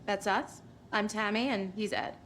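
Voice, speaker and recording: A woman's voice: medium pitch, fairly even intonation, a little slow, a bit bright. A woman playing a wry, defensive true-crime writer in a game drama, talking in a sardonic, glib way. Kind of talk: game cutscene drama acting. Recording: noisy game scene ambience.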